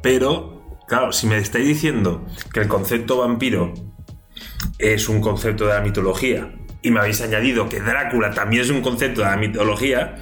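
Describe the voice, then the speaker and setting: Low-voiced man, joking podcast host. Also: fairly quick, fairly bright tone. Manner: joking, asks naive questions. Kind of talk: casual podcast chat. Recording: roomy echo, faint music.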